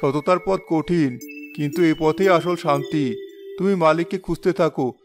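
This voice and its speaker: A man acts out an older village uncle in an animated moral story. He is fair-minded and advises in a measured, wise way. Music plays behind the voice. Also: on the high side, natural voice. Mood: reassuring.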